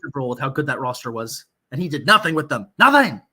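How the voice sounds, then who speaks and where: A man's voice: medium pitch, very animated, fast, sharp tone, slightly rough. A man hosting a sports podcast in casual banter, blunt and freely swearing. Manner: profane, blunt.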